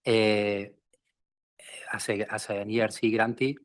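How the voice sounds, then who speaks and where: Flat-voiced man from Argentina, hesitant. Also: fairly low pitch, slow. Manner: hesitant, many fillers. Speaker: researcher on a panel. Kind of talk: conference panel remarks.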